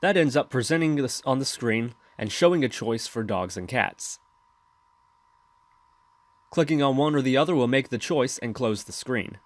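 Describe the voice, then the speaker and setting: A man hosting a software tutorial, explaining steps in scripted voice-over narration. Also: mid-range voice, expressive, normal speed, no room sound. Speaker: tutorial host.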